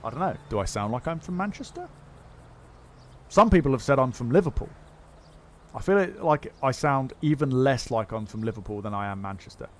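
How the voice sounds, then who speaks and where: A man's voice: medium pitch, expressive, a little fast, a little hiss or hum. A man chatting casually on a game livestream, answering viewer questions with lots of fillers and restarts. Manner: rambling, many fillers and restarts. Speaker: streamer.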